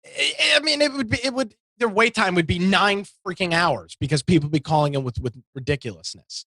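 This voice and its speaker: A man's voice: sits high, animated, brisk, sharp tone, dry recording. A man on a talk panel, casually arguing a point with stammering restarts. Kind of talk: casual debate.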